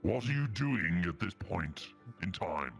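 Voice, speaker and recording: An extremely low-voiced, gruff plant creature of the swamp in a game roleplay. He is irritable and deliberate, a solitary guardian who wants to be left alone. Faint music plays behind him. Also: very animated, full character voice. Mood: grumpy, exasperated. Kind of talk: Minecraft roleplay acting.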